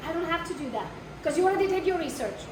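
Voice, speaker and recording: A woman's voice: very high-pitched, animated, rapid-fire. A woman with an accent teaches a live workshop for realtors. She speaks bluntly, swears now and then, and keeps asking the room questions. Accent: foreign. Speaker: real estate marketing trainer. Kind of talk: live workshop talk to an audience. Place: training room with a live audience. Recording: echoey room, mic far off, noisy.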